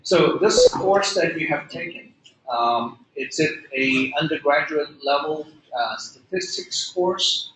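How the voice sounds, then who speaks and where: A man's voice: a little high, lively intonation, slow. Hesitant male lecturer. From Hong Kong. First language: Cantonese. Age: middle-aged. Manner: hesitant, many fillers and restarts. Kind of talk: classroom lecture. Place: lecture room. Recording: echoey room, faint noise.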